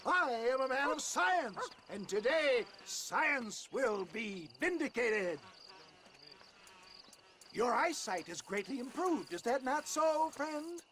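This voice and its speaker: A man's voice: extremely high pitch, big pitch swings, slow pace, pushed and bright, heavily acted. An actor playing a pompous older travelling medicine salesman, speaking in florid, theatrical patter for a western game. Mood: showman's fervour. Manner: florid, theatrical, orotund showman's delivery. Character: pompous old snake-oil peddler, flamboyant and grandiloquent. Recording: game audio with noisy outdoor ambience.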